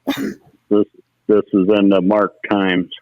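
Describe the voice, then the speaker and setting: A man's voice: on the low side, little pitch movement, slow, no echo. A middle-aged man, a former racer, casually telling folksy stories as an interview guest over the telephone. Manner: anecdotal, folksy storytelling. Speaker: former race car driver, interview guest. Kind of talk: casual reminiscing on a phone interview. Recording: muffled, narrow telephone line.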